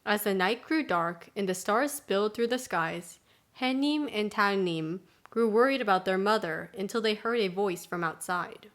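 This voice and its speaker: A woman's voice: mid-range voice, moderate pace, fairly bright tone. A woman storyteller reading a scripted folktale narration.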